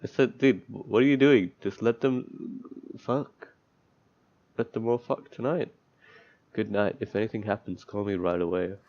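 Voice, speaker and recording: A man with a deep, creaky voice reads visual novel text aloud as he plays. He breaks in with crude, sardonic, sweary asides. The mic is dull and lo-fi, with game music behind him. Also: unhurried, darkish tone. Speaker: streamer playing a visual novel. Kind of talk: let's play, reading game text aloud with commentary.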